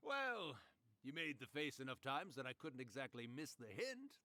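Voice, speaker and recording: An actor playing a theatrical, mischievous spirit of a long-dead author in a video game, with a grandiose, drawn-out manner. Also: male voice, a little high, huge pitch swings, sharp tone, no room sound, full character voice. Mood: teasing, amused. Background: music.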